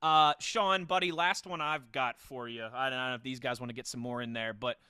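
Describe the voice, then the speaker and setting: A man's voice: medium pitch, steady tone, a little fast, pushed and bright, smooth, no room sound, clean studio sound. A man hosting a casual, conversational sports podcast, chatting about football. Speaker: podcast host.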